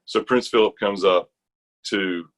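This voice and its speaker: A middle-aged American man telling stories casually in conversation, animated and colloquial. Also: on the low side, unhurried. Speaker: interview guest, retired army chorus bass singer.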